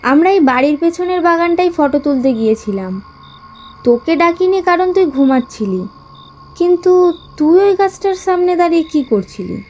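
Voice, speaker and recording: A woman's voice: extremely high pitch, animated, normal speed, darkish tone, muffled recording. A woman playing a carefree, friendly young woman who brushes off superstition in a teasing, casual way. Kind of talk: dramatic cartoon dialogue. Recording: music underneath the voice.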